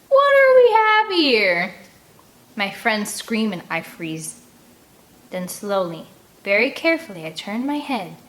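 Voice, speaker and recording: A woman reading an audiobook aloud as host, with expressive narration and casual delivery. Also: mid-range voice.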